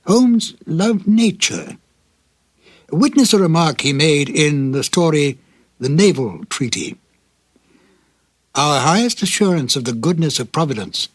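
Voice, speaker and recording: A man hosting a program with scripted narration, dramatic and deliberate like a storyteller, in a slightly husky voice. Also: fairly high pitch, no echo. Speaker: host introducing old radio episodes.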